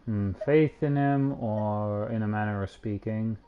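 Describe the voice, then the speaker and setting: Male game streamer, unhurried and low-key, reads dialogue aloud with offhand comments; muffled home microphone. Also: clean tone. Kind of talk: reading game dialogue aloud with casual asides.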